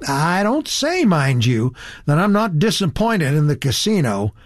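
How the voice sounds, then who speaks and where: A man's voice: a little high, very animated, unhurried, no echo, studio quality, pitched above the performer's own voice. A man voicing a naive, enthusiastic young man in an audiobook story. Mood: easygoing, puzzled.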